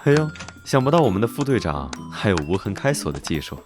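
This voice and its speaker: An actor playing a teasing, scheming young noble duke, self-assured and drawling, in an animated drama dub. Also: male voice, fairly low pitch, quick pace. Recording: background music under dialogue.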